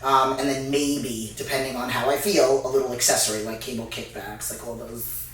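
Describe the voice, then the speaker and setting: A woman's voice: a bass voice, fairly even intonation. Woman in her forties, echoey distant mic. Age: 40s. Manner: unhurried, loose, many filler sounds. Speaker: fitness co-host. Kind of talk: casual Q&A chat. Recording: echoey room, mic far off.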